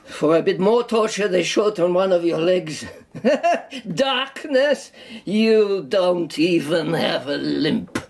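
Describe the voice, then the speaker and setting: An actor in a radio drama playing a sardonic, battle-worn European refugee with a lame leg, speaking haltingly with mocking formality. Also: male voice, high voice, very animated, vocal fry, exaggerated voice. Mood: bitter, feverish.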